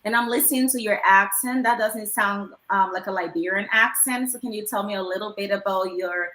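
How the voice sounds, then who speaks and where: A woman's voice: mid-range voice, fairly quick, sharp tone, roomy sound. A woman moderating an online panel, asking guests polite questions over a video call, with frequent fillers.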